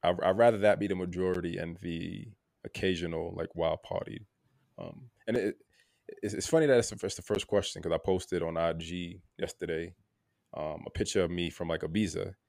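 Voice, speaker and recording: A man co-hosting a podcast in a casual, reflective way, halting and repeating words, often checking in with "right?". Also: fairly even intonation, a little mellow, dry recording, studio quality. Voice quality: gravelly low voice. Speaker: podcast co-host.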